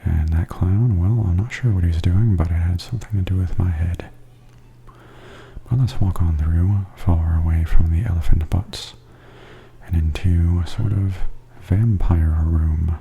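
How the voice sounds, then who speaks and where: A man giving softly spoken ASMR tour commentary in a breathy half-whisper, calmly and gently describing things as a host. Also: sits low, a little hiss or hum. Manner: calm, unhurried, gently descriptive.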